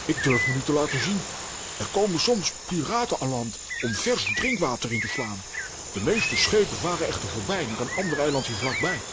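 Actor as a comic castaway man, excitable, very swooping intonation, theatrical, loud insect ambience. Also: medium pitch, brisk, very noisy, lightly acted. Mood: explaining. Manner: animated, theatrical. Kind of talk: children's audio drama acting.

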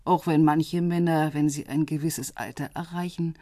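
A middle-aged divorced woman in a radio drama. Her voice is fairly low and husky, her manner wry and guarded, with a bitter, sardonic edge. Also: fairly even intonation, moderate pace, warm tone, dry recording, clean studio sound.

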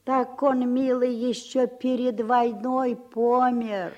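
A tiny, wizened country granny in a vintage radio play. Her voice sits fairly high and she talks very slowly, in plain folksy village phrases. The recording is a little dull and dated. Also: little pitch movement, warm tone. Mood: matter-of-fact, folksy. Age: older. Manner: unhurried, folksy rural speech. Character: small, wizened old village woman with a basket. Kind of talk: Soviet radio drama acting.

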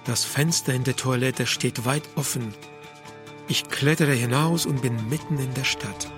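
A man's voice: medium pitch, a little slow, close to an everyday voice. An actor playing an anxious, cornered man in a German radio drama, with a hesitant way of speaking. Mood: dreamy, uneasy. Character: anxious, cornered man, haplessly scheming, dream narrator. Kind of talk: German radio drama acting and first-person narration. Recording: music bed under the voice. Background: music.

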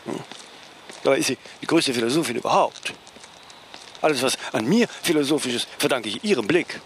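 An actor playing a vain, cantankerous celebrity philosopher in a radio play, imperious and full of reproach. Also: male voice, medium pitch, expressive, brisk, a bit bright, a little hiss or hum, natural voice. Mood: reproachful. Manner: imperious, reproachful, verbal tags like "ne?". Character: vain, cantankerous celebrity philosopher, prickly about his mother. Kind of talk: German radio play dialogue.

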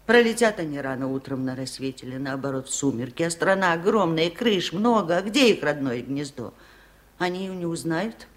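A woman's voice: sits low, expressive, a little fast. An older woman playing a wise, lonely village aunt in a 1970s radio play, speaking in a folksy, musing way. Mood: wistful, earnest.

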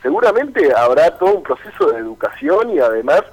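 A man with a Buenos Aires accent answering radio interview questions as a political candidate, measured and articulate. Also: fairly high pitch, big pitch swings, a little mellow, poor audio. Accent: Argentine, Buenos Aires.

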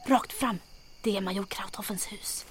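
A woman playing a sharp, pedantic night raider in an audio drama, speaking in a hushed, matter-of-fact way. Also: medium pitch, slight background noise, close to an everyday voice. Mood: hushed, correcting.

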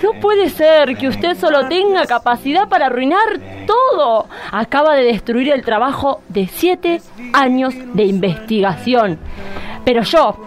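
An Argentine woman acting an emphatic, easily exasperated female judge in a parody radio play, theatrical in her delivery. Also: high voice.

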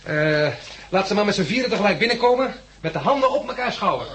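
Animated, dry captive man, noisy old recording. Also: high-pitched, fast. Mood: dry, practical. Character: weary captive sighted man guiding the blind. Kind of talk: 1960s radio drama acting.

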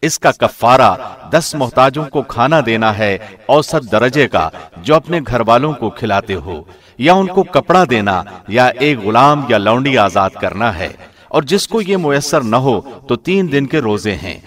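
A man's voice: mid-range voice, lively intonation, fairly bright tone. A man reading a scripture translation aloud in a formal, scripted radio narrator's style. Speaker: translation reader, radio host.

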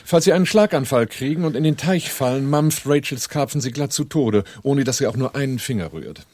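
An actor playing a wry, assured man who gives orders in a radio drama, his voice husky. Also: mid-range voice, animated, fairly quick, darkish tone, natural voice. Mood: wry, teasing. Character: wry, commanding male host, coolly in charge.

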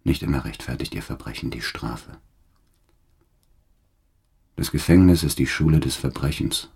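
An actor playing a hardened, embittered career criminal who makes grave, defiant declarations in a radio drama monologue. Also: male voice, rumbling low, moderate pace, a little mellow, heavily acted. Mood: resolute, cold. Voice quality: gravelly, husky.

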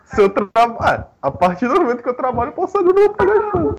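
A man on a podcast call through his phone earphone mic, answering teasing with quick, defensive comebacks. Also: very high-pitched, sing-song, muffled recording, a crowd in the background. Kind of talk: casual chat.

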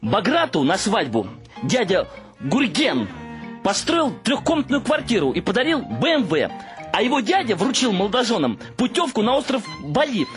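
An actor playing an exasperated, materialistic young adult son in a radio play, ranting with sarcastic scorn. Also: male voice, high-pitched, wildly swooping pitch, normal speed, music in the background. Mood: angry scorn.

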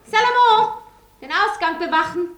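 A flustered yet commanding hotel madame for radio drama. Her high voice is theatrical and bossy. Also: moderate pace, noisy background. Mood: reproachful, commanding. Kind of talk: German radio play acting.

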